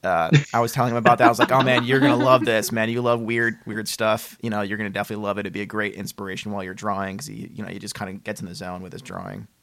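A man's voice: lowish voice, flat delivery, very fast, hardly any pauses, fairly bright tone, dry recording. A man co-hosting a podcast interview, chatting informally and supportively with a guest. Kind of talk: casual interview chat.

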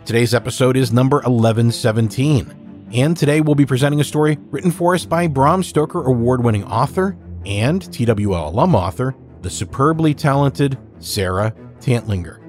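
A man hosting a podcast, reading a scripted introduction in a measured, formal way. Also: medium pitch, expressive, a little mellow. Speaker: podcast host. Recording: faint music bed behind.

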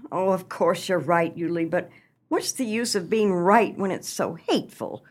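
A woman's voice: fairly low pitch, unhurried. An actress playing a flustered, theatrical small-town wife in a comic radio play, exclaiming and fretting over her social standing. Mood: exasperated, fretful. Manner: theatrical, exclamatory, dramatic sighing.

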